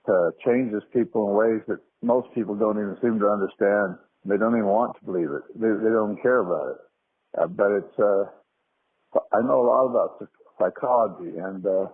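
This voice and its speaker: A thin, phone-like recording carries a man's low, rough-edged voice. He leaves an earnest message, speaking solemnly and hesitantly.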